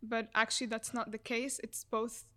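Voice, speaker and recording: Young woman with a flat, even delivery. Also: on the high side, moderate pace. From Egypt. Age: young adult. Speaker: student guest. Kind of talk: podcast conversation.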